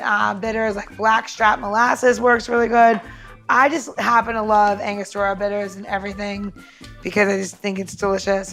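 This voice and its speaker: A woman with a fairly high voice talks through a cocktail recipe in a loose, chatty way, dropping fillers as she goes. Faint music sits in the background. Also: fairly bright tone. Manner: chatty, loose, full of "you know" and "um". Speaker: podcast co-host and cocktail maker. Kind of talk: casual cocktail demonstration.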